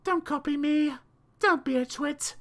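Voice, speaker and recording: A woman's voice: sits high, measured pace, very noisy. A British woman narrating children's verse as an audiobook reader, theatrical, dramatic and precise.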